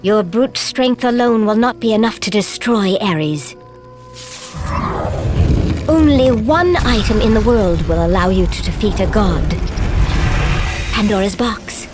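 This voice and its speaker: An actress playing a stern, foreboding oracle of ancient Greece in a video game, grave and reproachful. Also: female voice, mid-range voice, lively intonation, slow pace, pauses often. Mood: grave warning. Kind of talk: dramatic video game acting. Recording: orchestral game music behind.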